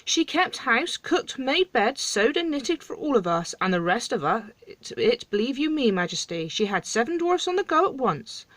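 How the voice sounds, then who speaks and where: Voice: medium pitch, big pitch swings, normal speed, hardly any pauses, fairly bright tone, no room sound, pitched above the performer's own voice. A storyteller voicing a crude, indignant male dwarf who is blunt and accusing.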